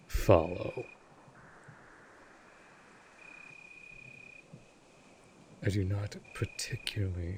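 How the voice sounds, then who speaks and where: Man playing a deep, gravelly, haughty vampire lord. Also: darkish tone, a little hiss or hum. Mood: cold, commanding. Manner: imperious, disdainful, formal, commanding. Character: haughty, cold centuries-old male vampire lord of a manor. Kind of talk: scripted audio roleplay drama.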